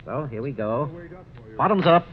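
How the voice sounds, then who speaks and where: A suave male con man, acted for old radio, effusive and formal, insisting with genial charm. His pitch rises and dips widely, on a dull vintage recording. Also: expressive, normal speed, natural voice. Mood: hearty, insistent. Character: smooth-talking male ex-swindler, genial, insistent, falsely sincere. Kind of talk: 1950s radio drama acting.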